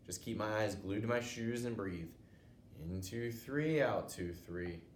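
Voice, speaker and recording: A man's voice: lowish voice, unhurried. An American man narrating a children's storybook, reading aloud as a teacher would. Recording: roomy echo, noticeable background noise.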